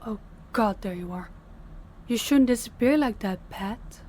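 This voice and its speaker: A woman's voice: a little high, a little slow, a little mellow, a little hiss or hum, natural voice. A woman playing a dominant mafia boss in an audio roleplay, stern and condescending, giving orders and patronizing praise. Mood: stern, scolding. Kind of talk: audio roleplay addressed to the listener.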